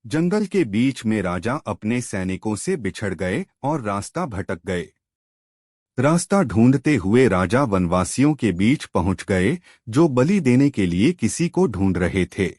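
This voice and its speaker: A man narrating a moral story in a slow, measured way, ending with a lesson for the listener. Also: on the low side, very animated, darkish tone, dry recording, clean studio sound.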